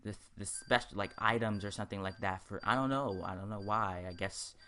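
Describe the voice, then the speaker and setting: A man's voice: lowish voice, a little fast, sharp tone. A man giving casual commentary over a video game walkthrough, rambling and hesitant, often repeating himself. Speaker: gaming video host.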